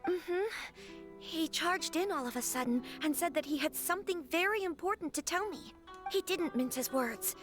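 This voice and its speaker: A woman voicing a polite, earnest young noblewoman in a game, speaking formally, gently and with some hesitation. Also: very high-pitched, expressive, normal speed, over music. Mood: serious. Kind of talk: scripted game dialogue.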